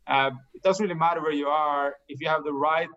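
Male guest, 40s, measured and reflective; monotone delivery, dull recording. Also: a little high. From Norway. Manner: thoughtful, measured storytelling. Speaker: podcast guest. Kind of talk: casual interview answers.

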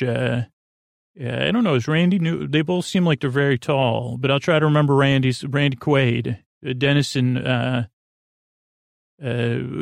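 A man's voice: mid-range voice, gravelly, no room sound, clean studio sound. A man hosting a bedtime podcast, rambling and meandering, stammering and losing his train of thought as he talks. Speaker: podcast host.

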